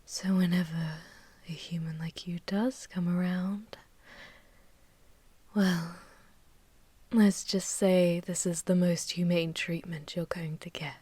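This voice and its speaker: A woman performs a seductive female vampire warlord in a fantasy ASMR audio roleplay. She speaks slowly and languidly, mostly in an intimate whisper, with teasing menace and possessiveness. The sound is dry, with hardly any room to it. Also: lowish voice, steady tone, darkish tone, breathy edge, no room sound. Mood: teasing, possessive.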